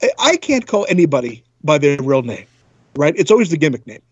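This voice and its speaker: Raspy higher pitched dude w/ a speech impediment, swears a lot, talks quick, blunt. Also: male voice, very animated, no echo. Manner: foul-mouthed, blunt, repeats himself. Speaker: co-host. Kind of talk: casual banter. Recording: dry, slightly dull lo-fi sound.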